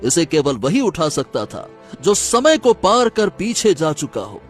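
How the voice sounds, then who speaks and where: A man as a high-pitched cunning sorcerer. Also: sing-song, a little slow, breathy edge, faint music behind, heavily acted. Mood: smug, explaining. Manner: theatrical, commanding. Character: cunning, menacing male sorcerer with magic powers. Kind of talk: dramatic Hindi cartoon story acting.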